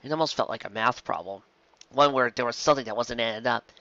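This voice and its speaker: A man's voice: mid-range voice, fairly quick, pushed and bright, no echo, lo-fi sound. A man reading a scripted story aloud as narrator. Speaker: narrator, reader.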